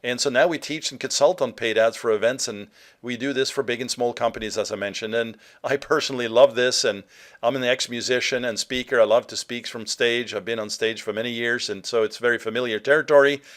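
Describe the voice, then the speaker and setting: A man from Norway with a mid-pitched voice leads a webinar as a marketer addressing his viewers directly. His delivery is conversational and a little halting, peppered with fillers as he explains his points. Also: steady tone, fairly bright tone. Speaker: presenter, digital marketer. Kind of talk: webinar sales presentation.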